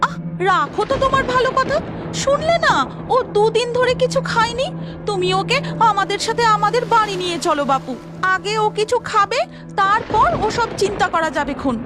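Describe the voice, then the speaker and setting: A woman's voice: extremely high pitch, expressive, normal speed, loud music behind the voice. A woman voicing an anxious, devout village wife in a cartoon story, with a Bengali accent and rustic, exclamatory delivery. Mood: scolding. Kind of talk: melodramatic cartoon story acting.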